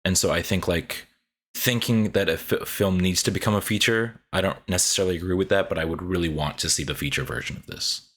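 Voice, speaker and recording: Deep-voiced man musing about films. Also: a little fast, fairly bright tone. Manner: thoughtful, hedging with "I think" and "like". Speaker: podcast co-host. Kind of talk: casual film discussion.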